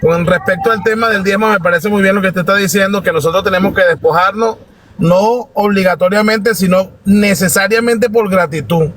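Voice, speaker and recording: High-pitched Venezuelan man, halting and respectful. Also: a bit bright, a little hiss or hum. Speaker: guest participant in a live discussion. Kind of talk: informal spoken testimony.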